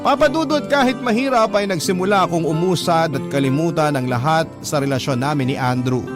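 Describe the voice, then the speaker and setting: A woman with a Filipino accent playing a young letter-sender, narrating her love story in a deliberate, reflective radio drama style. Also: low-pitched, lightly acted. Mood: reflective, resolved. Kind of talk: scripted first-person radio drama narration. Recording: loud guitar music under voice.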